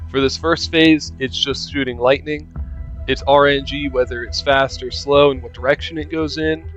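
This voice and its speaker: A man's voice: medium pitch, a bit bright, lo-fi sound. Man narrating a game guide over music. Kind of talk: casual video game walkthrough commentary.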